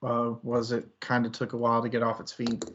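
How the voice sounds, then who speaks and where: A man's voice: medium pitch, completely flat delivery, a little fast, a bit bright, dull audio. A man hosting a casual book discussion, talking in a rambling, opinionated, conversational way. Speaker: book club podcast host.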